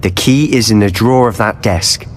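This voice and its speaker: A man's voice: lowish voice, normal speed, close to an everyday voice. A man playing a confident, roguish young student in a video game, with a British accent and an assured, conspiratorial manner. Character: confident, roguish male student schemer, loyal and cheeky. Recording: long reverb, faint noise.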